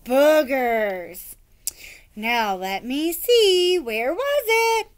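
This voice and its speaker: A woman voicing a sharp, suspicious village mother from a children's storybook, snappy, wary and theatrical. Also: high voice, sing-song, extremely slow, full character voice. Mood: muttering, stalling. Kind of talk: children's storybook read-aloud character voice.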